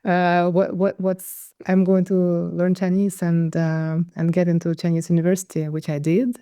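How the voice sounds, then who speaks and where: A woman's voice: fairly low pitch, level tone, normal speed, dark tone, smooth, no echo, clean studio sound. A middle-aged woman from Ukraine, a guest telling her life story in an interview, hesitant and full of restarts. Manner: hesitant, with many restarts and fillers. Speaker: guest, conflict consultant.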